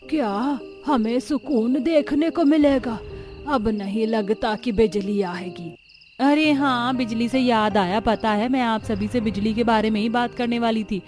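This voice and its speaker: A woman voicing earnest, plaintive village housewives and mothers-in-law in a cartoon story, with an expressive, emphatic delivery. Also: fairly high pitch, a little slow, soft background music, natural voice. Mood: weary, complaining.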